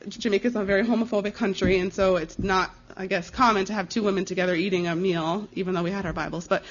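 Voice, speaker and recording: A young American woman speaking as a missionary, giving an informal slide presentation in a conversational, earnest and halting way. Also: medium pitch, fairly even intonation. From United States. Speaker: missionary giving a ministry report. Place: live talk before an audience. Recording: dull, slightly lo-fi recording.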